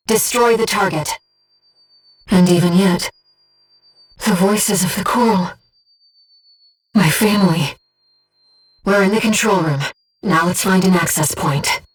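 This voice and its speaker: Casting: a disembodied female mission guide in a mech game; soft, breathy, measured, earnest and devoted, medium pitch, slightly distant sound. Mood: solemn resolve. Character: calm, devoted disembodied female voice in a pilot's head. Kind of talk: video game voice acting, tactical briefings.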